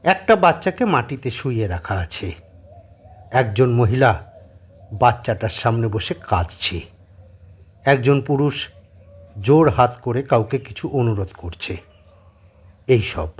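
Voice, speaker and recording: Husky man narrating a suspense story. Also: mid-range voice, very animated, takes it slowly, pauses often. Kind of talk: scripted dramatic narration.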